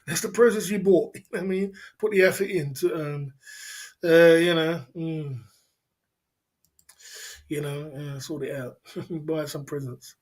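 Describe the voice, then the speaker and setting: Man, 50s, slightly high voice, loose rambling livestream host, frequent chuckles. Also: steady tone, measured pace, long pauses. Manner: rambling, laughs often, repeats filler phrases. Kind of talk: casual livestream chat reading viewer comments.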